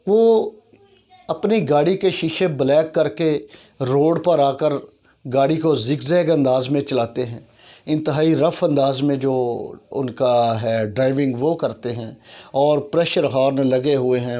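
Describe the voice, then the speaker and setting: Higher-voiced Pakistani man, earnest and emphatic. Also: darkish tone. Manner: earnest, complaining, emphatic with rhetorical questions and repetition. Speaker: YouTube commentator. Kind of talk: opinion monologue on civic issues.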